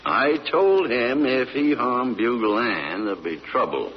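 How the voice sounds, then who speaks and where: Stubborn old-time country dad, folksy drawl, really animated, muffled radio drama. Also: male voice, mid-range voice, a little hiss or hum. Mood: defiant. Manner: folksy, halting, earnest drawl. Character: stubborn rural father devoted to his hound. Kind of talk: 1940s radio drama acting.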